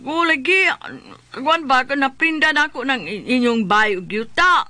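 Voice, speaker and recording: A man playing an insistent creditor and family friend, pressing and pleading haltingly in a comic radio drama with a Cebuano accent. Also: extremely high pitch, sharp tone, no echo, heavily acted.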